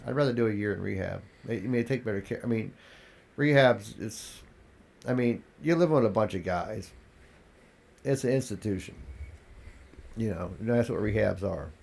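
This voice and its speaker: A congested man with a gravelly voice, talking candidly as a podcast guest, restarting his sentences as he tells his story. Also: lowish voice, normal speed, pauses often, darkish tone. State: congested, coughing. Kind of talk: casual interview talk.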